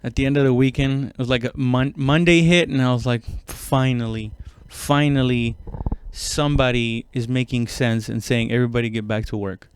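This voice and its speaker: Clear-voiced man rambling through casual comedy stories. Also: medium pitch, fairly even intonation, moderate pace. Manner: rambling, profane, slangy. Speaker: comedian, podcast host.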